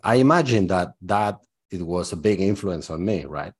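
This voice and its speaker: Deep-voiced Spanish-accented man. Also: lively intonation, moderate pace, breathy edge. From Spain. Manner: thoughtful, loose, with frequent fillers. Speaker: guest artist. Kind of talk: candid interview answers.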